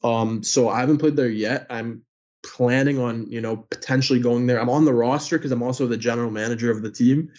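A young man born in Greece chats easily as an interview guest, filling his answers with ums and likes. His voice sits at an ordinary middle pitch. The audio comes through a little dull and muffled. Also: steady tone, fairly quick, a little mellow. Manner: chatty, many filler words. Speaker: guest, hockey player and sports news account runner.

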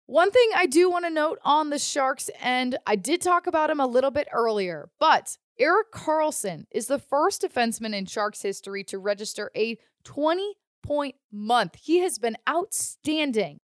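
Woman sports podcast host reading game recaps, high pitched voice, nice dry close sound. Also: animated, normal speed, few pauses, dry recording. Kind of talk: scripted sports news narration.

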